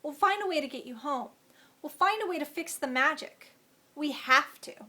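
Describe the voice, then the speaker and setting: A woman voicing a gentle, grave young fae man in a storybook reading, earnest and reassuring. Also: sits high, animated, moderate pace, voice pushed up.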